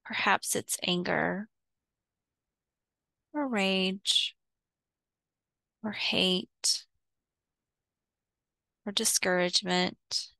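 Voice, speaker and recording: A woman leading a guided visualization as a meditation teacher, soothing and unhurried, leaving long pauses between short phrases. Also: fairly low pitch, fairly even intonation, vocal fry, sharp tone, no echo, low-quality recording.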